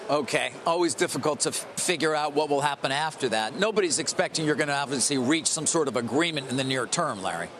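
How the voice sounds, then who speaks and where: A man's voice: fairly high pitch, expressive, fast talker, hardly any pauses, crowd noise. A man working as a news anchor, putting questions in a live television interview.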